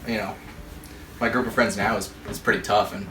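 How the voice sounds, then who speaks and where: Quick low young man, far and echoey. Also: fairly even intonation, background noise. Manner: quick, halting, casual answers.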